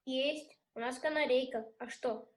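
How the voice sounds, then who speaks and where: Woman doing a snippy pet owner in a radio play, high pitched, sarcastic, brushing people off. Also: steady tone, unhurried. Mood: dismissive. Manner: curt, dismissive, sarcastic. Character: irritable, sarcastic canary owner pestered by children. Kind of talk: amateur Russian radio play acting.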